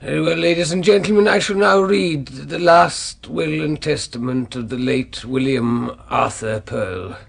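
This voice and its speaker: An actor playing a solemn, ceremonious solicitor in a 1970s radio drama, with a gravelly, husky voice. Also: male voice, on the high side, unhurried, hardly any pauses. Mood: solemn, formal. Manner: formal, ceremonious. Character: formal, solemn family solicitor reading a will.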